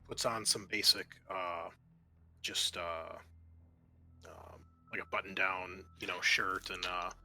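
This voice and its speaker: A man narrating a tabletop role-playing game as game master, haltingly, often circling back to rephrase. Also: lowish voice. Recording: music behind the voice. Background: music.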